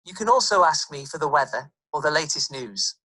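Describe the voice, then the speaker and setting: A synthetic voice assistant with a generic northern English accent, reading responses in an even, scripted way. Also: mid-range voice, fairly quick, dry recording, poor audio.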